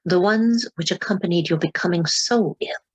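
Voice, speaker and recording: A probing female questioner in a radio drama. Her voice is low and husky, and she presses on in deliberate, precise, formal phrases. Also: rough recording. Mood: insistent. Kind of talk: radio play acting.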